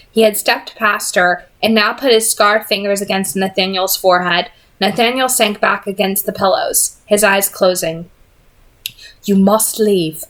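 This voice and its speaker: A woman reading a novel aloud in an expressive amateur audiobook style. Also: medium pitch. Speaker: reader of a novel read-aloud.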